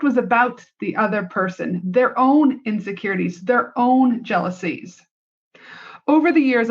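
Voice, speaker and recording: A Canadian-accented woman with a fairly high voice hosts a motivational business podcast on her own. She speaks bluntly and emphatically, in casual, punchy language. The recording sounds slightly dull and lo-fi. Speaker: podcast host.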